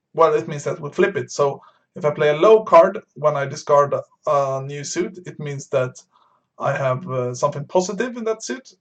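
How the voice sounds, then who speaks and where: A man from Sweden, streaming a bridge game and explaining his moves to beginners while thinking aloud with frequent pauses and fillers. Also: on the high side. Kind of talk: live-stream commentary explaining card play.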